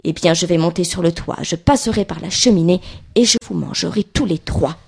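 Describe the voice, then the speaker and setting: A woman's voice: lowish voice, fairly quick, creaky, a little mellow, husky. A woman voicing a big bad male wolf in a children's storybook, bullying and hungry, with emphatic, chanting threats. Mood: scheming.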